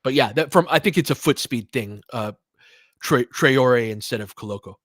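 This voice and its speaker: A man's voice: medium pitch, normal speed, no room sound. Casual male basketball commentator, close dry sound. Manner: conversational, punchy short calls, slangy. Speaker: basketball play-by-play commentator and analyst. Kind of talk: casual live play-by-play commentary and analysis.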